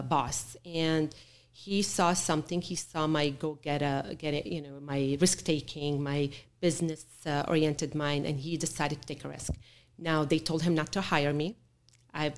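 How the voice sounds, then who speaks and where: A woman's voice: deep voice, smooth. A middle-aged woman born in Chicago and raised in Beirut, telling her career story as an interview guest with many fillers and restarts.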